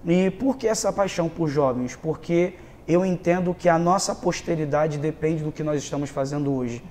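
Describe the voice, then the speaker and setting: A man's voice: on the high side, normal speed, a little mellow, slight background noise. A man in his thirties with a Rio de Janeiro Brazilian accent, a pastor giving earnest personal testimony in a conversational interview, often checking in with tag questions and repeating words for emphasis. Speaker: pastor and youth leader, interview guest.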